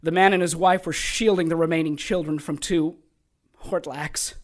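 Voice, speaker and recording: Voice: mid-range voice, steady tone, moderate pace, natural voice. An actor playing a sadistic sorcerer and former soldier in an audio drama, taunting and theatrical, with gleeful menace. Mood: grim storytelling. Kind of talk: audio drama acting, storytelling monologue.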